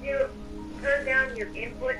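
A woman's voice: high voice, steady tone, normal speed, pushed and bright, faint music behind. A woman chatting casually with other players in a group game voice chat. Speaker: player in a group Minecraft roleplay.

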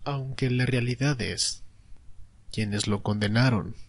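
A man's voice: medium pitch, fairly even intonation, slow pace. A man voicing a sly male vampire enforcer in an audio drama, mockingly polite and taunting. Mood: taunting menace. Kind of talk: Spanish-language ASMR roleplay audio drama.

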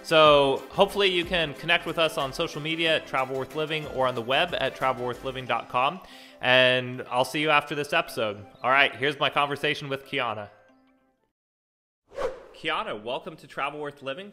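A man's voice: mid-range voice, a little fast, bright, forceful tone, faint music behind. A young man hosting a casual travel podcast from home, talking upbeat and eager with a few fillers, into a stand mic. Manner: upbeat, enthusiastic intro with fillers. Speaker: podcast host. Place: living room at home. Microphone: studio mic on stand with headphones.

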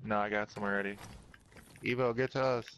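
A man's voice: fairly low pitch, steady tone, a little fast, a bit bright. A man in casual gaming chat, calling out to teammates in slangy, profane language. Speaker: video game podcast member playing online. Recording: noisy game voice chat with clicks.

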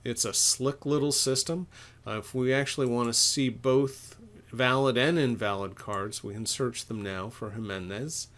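A middle-aged man presents a software walkthrough as an equipment expert. His voice sits at an ordinary medium pitch. He explains each step informally, talking himself through what he is doing. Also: moderate pace. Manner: informal, explanatory, thinks aloud while typing. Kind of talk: step-by-step software tutorial narration.

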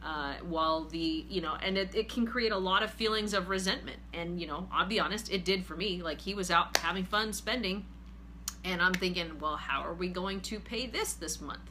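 A woman in her forties hosting a casual money-advice talk from her living room couch, earnest and steady. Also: fairly low pitch, normal speed, noisy background. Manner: earnest, steady, reads viewer comments aloud. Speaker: host, finance group leader.

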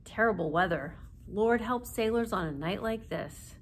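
A woman's voice: mid-range voice, animated, moderate pace, slight background noise, close to an everyday voice. A woman voicing a big, grouchy old grandfather in a children's storybook, growling and grumpy. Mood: grumbling.

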